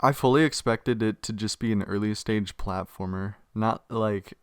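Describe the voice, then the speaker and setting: A young man from Minnesota hosts the interview in a low, smooth, resonant voice. The recording is close and dry, and he speaks in a laid-back way with frequent chuckles. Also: moderate pace, dry recording. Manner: laid-back, chuckles often, short backchannel replies. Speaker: podcast host. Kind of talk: casual podcast interview.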